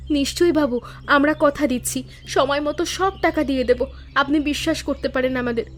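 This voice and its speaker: A woman's voice: sits high, fairly quick, close to an everyday voice. A woman voicing an earnest, dutiful village daughter-in-law in a cartoon story, worried but resolute. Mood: respectful. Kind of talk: Bengali cartoon story dialogue.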